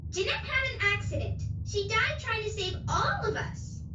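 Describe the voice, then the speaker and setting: A woman's voice: extremely high pitch, sing-song, moderate pace, sharp tone, a little hiss or hum, full character voice. A young woman playing a bossy, impatient teenage girl in a sci-fi radio play. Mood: stern. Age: young adult.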